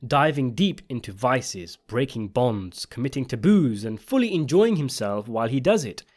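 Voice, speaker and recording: A man delivering scripted video essay commentary on a TV series, in an emphatic, opinionated way. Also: medium pitch. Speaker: video essayist and channel host.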